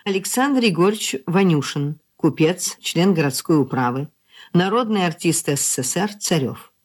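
Female radio announcer: slightly low pitch, formal, scripted credit reading for a drama broadcast. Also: expressive, normal speed, darkish tone. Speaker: announcer reading title and cast list.

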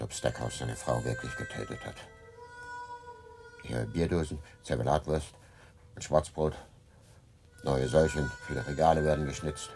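An actor playing a sullen, defiant, bookish murder suspect in a radio drama, curt and dismissive in manner. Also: male voice, a bass voice, gravelly. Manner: curt, dismissive, formal wording.

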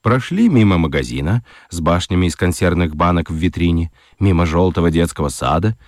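Мужчина-рассказчик детского радиоспектакля читает выразительно, с драматическими паузами. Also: male voice, low voice, expressive, dry recording, studio quality. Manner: deliberate, dramatic pauses. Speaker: narrator. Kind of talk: expressive scripted storytelling for children's radio play.

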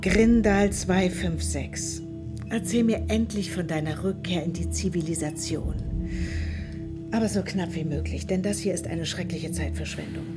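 A woman's voice: on the low side, measured pace, loud music behind the voice, lightly acted. An actress playing a cold mother loyal to a ruling machine in a radio play, curt and disdainful.

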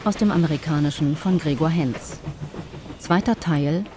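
Woman with a low voice announcing radio play titles, noisy behind her. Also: normal speed.

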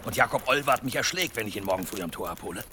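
An actor playing a hot-tempered, suspicious village blacksmith, challenging and agitated, in a radio drama. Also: male voice, on the low side, animated, very fast, background noise, natural voice. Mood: challenging, worried. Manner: gruff-worded, challenging, agitated.

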